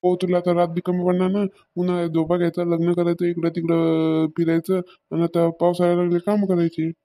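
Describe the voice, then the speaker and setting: A man's voice: sits high, monotone, brisk, dark tone. A man playing a grumbling, stingy village farmer husband in a comedy cartoon, with a rural Maharashtra Marathi accent and a nagging manner. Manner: nagging, complaining.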